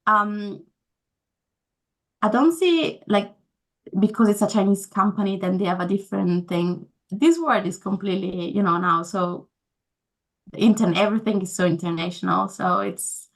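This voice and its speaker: A woman's voice: mid-range voice, normal speed, gravelly, low-quality recording. A young woman, not a native English speaker, answering interview questions casually, with many fillers and restarts. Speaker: guest.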